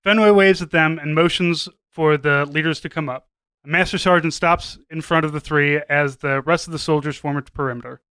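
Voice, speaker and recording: A man's voice: on the high side, steady tone, a little fast, a bit bright, smooth, dry recording. A man narrating a scripted comedy story as a podcast host, now and then stumbling as he reads.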